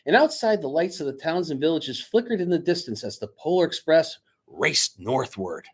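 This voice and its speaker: A man speaking to schoolchildren as their teacher, reading a storybook aloud in an expressive, dramatic way. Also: a little high, normal speed, slightly rough. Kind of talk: children's storybook read-aloud and chat to pupils. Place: indoors, recorded remotely on video.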